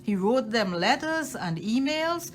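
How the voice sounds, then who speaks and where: A woman with a fairly high voice reads a children's story aloud in an expressive way. Her pitch swings widely and she takes her time over each phrase, leaning on key words. There is some noise in the recording. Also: unhurried. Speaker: storytime reader at a library. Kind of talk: expressive read-aloud of a children's picture book.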